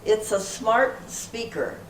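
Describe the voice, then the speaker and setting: A woman's voice: mid-range voice, lively intonation, slow. A woman playing an eager, easily flustered group leader in amateur readers theater, speaking earnestly. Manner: earnest, presenting. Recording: echoey room from far off, faint noise.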